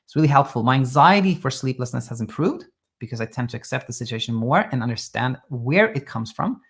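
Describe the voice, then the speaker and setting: A man from Sweden who coaches people on sleep, calmly reassuring as he answers listener questions live and thinks aloud with small restarts. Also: mid-range voice, brisk. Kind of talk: live Q&A advice talk.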